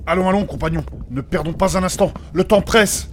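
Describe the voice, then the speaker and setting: An actor playing an earnest, formal quest companion who urges others on in old-fashioned phrasing, in theatrical audio fantasy. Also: male voice, high voice, fairly quick, slight background noise, close to an everyday voice. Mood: urgent.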